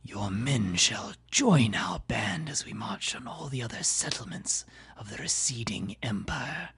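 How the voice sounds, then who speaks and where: A man's voice: medium pitch, big pitch swings, measured pace, vocal fry, fairly bright tone, husky, pitched above the performer's own voice, full character voice. A man voicing a menacing warlord of the damned in an audio drama, with a hissing half-whisper and archaic, taunting speech. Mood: sinister bargaining.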